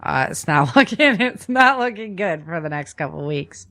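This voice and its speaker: A woman's voice: lowish voice, lively intonation, normal speed, fairly bright tone. Casual, emphatic woman podcast host. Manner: informal, conversational, emphatic repetition. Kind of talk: casual podcast chat and news rundown.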